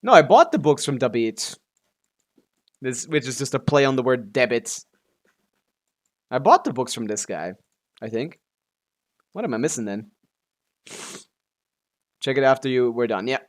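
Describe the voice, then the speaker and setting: A man's voice: mid-range voice, expressive, a little slow, long pauses. A man giving casual, offhand live commentary as a game streamer, muttering to himself and swearing freely.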